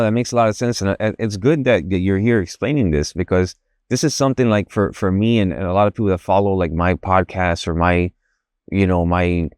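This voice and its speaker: Low-voiced halting podcast host, dry mic. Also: male voice, darkish tone, clear, resonant tone, dry recording. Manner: halting, restarts often, thinks aloud. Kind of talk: podcast interview.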